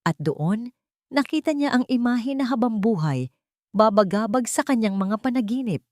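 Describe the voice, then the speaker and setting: A woman's voice: on the high side, animated, moderate pace, a little mellow, no room sound, clean studio sound. A woman narrating a scripted audio story in a dramatic storytelling style.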